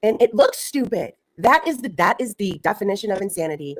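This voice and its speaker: A woman's voice: medium pitch, big pitch swings, a little fast, poor audio. A woman in her fifties co-hosting a livestream, lecturing indignantly and repeating herself for emphasis. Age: 50s. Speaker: livestream co-host. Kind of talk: casual livestream chat.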